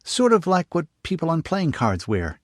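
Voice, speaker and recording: A man voicing an eager, blunt young girl who talks excitedly in a raised voice. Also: no room sound, studio quality. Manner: excited, high and quick.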